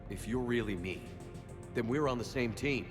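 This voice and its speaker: An actor playing a stern military commander hero in a video game, questioning firmly. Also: male voice, mid-range voice, moderate pace, natural voice. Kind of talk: video game dramatic acting. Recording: background music under the dialogue.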